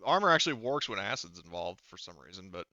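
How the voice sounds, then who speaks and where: A man's voice: fairly low pitch, very animated, quick pace, bright, forceful tone, no room sound. A man speaking as game master of a tabletop roleplaying game, casually profane, talking players through the rules. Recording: dull, lo-fi close dry voice track.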